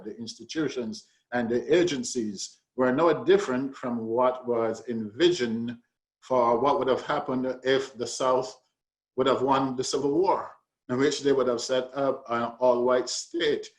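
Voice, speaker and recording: A man speaking as a panelist, giving considered commentary with deliberate, emphatic phrasing. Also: mid-range voice, rough recording. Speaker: panelist, author and researcher. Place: online video call.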